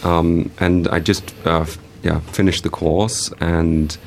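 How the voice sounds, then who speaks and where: A young man from England whose speech carries a slight German accent. His voice sits extremely low and stays flat, and he talks haltingly with many fillers. A faint noise runs underneath. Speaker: guest artist. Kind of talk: casual interview talk.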